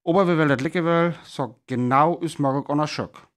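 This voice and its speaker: A man with a North Frisian accent playing a self-assured, dismissive older astronomy professor in a radio play, explaining in a halting way. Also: fairly high pitch, lightly acted. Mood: unconcerned.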